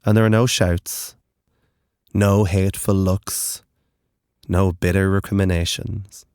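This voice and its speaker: A man narrating a scripted horror story in a grave, deliberate manner with measured dramatic phrases. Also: low voice, little pitch movement, darkish tone, clean tone, no echo, studio quality.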